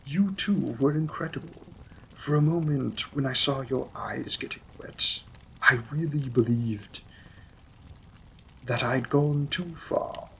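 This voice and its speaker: Man acting as slow, breathy demon king lover. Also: mid-range voice, slight background noise, rough recording. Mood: tender, concerned. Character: menacing, possessive demon king, secretly a tender lover. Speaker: audio roleplay performer. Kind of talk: dramatic romantic fantasy audio roleplay.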